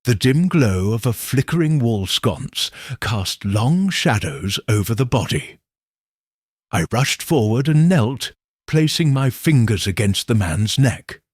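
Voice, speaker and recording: A man narrating a detective story in the first person with slow, expressive delivery. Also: medium pitch, husky, no room sound, studio quality. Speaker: audiobook narrator.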